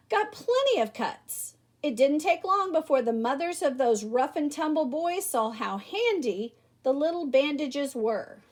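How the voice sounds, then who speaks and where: A woman reads a children's story aloud in a high voice. Her delivery is lively and emphatic, with big swings in pitch, and she addresses her young listeners directly. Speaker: storybook reader. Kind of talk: expressive children's read-aloud.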